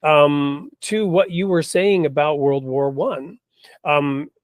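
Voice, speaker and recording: A man hosting an interview podcast, talking in a thoughtful conversational way, often checking in with "right?" and pausing on fillers. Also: fairly high pitch, no room sound. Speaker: podcast host.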